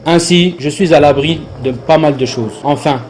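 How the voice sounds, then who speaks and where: A man's voice: on the high side, normal speed, a little mellow, a little hiss or hum, natural voice. A man playing an arrogant, worldly rich man with a condescending, boastful manner in a religious cartoon dub. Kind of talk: dubbed religious cartoon acting.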